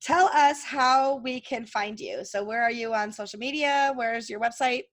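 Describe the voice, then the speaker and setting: A woman with a high voice hosts a casual interview. She reacts quickly and keeps things upbeat with playful asides. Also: fairly bright tone. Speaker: host. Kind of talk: casual chat.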